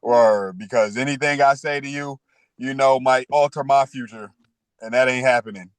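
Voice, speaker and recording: A man's voice: mid-range voice, steady tone, moderate pace, clear, resonant tone, no echo. Man in his thirties, candid street talk. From New York. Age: 30s. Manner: street slang, frequent "you know" and "word". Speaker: podcast guest.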